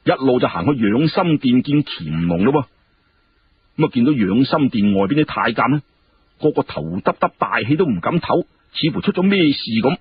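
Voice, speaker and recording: Male storyteller, colloquial and dramatic, very varied pitch, dull radio-like sound. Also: normal speed, slight background noise. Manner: animated, colloquial, conversational asides. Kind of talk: dramatic Cantonese audiobook storytelling.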